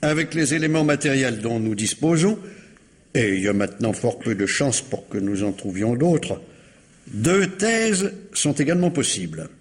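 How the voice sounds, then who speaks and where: An actor playing a courteous, insistent police commissioner who repeats himself in measured questioning, in old radio mystery style. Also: male voice, medium pitch, big pitch swings, lightly acted. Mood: reasoning. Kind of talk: 1950s French radio mystery acting. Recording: reverberant hall-like radio drama sound.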